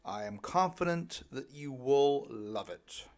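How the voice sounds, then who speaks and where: Slow-paced middle-aged man reading his audiobook. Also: medium pitch, expressive, darkish tone. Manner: light tongue-in-cheek humour, addresses listeners directly. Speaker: author reading own audiobook. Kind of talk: scripted narration, self-help audiobook.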